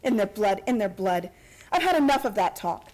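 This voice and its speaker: A woman's voice: medium pitch, expressive, moderate pace, a little mellow, lightly acted. A woman playing an earnest, headstrong young heiress of a factory family in a radio play, speaking insistently. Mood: defiant, commanding. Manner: earnest, insistent, pleading to commanding.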